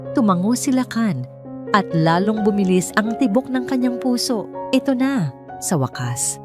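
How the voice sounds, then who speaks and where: A woman reading a scripted dramatic story as narrator, in a measured, suspenseful way. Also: medium pitch, animated, over music. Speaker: narrator of audio drama stories.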